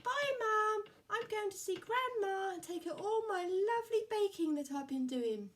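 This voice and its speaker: A woman's voice: extremely high pitch, animated, moderate pace, fairly bright tone, pitched above the performer's own voice. A woman playing a polite, innocent, cheerful little girl in a puppet show.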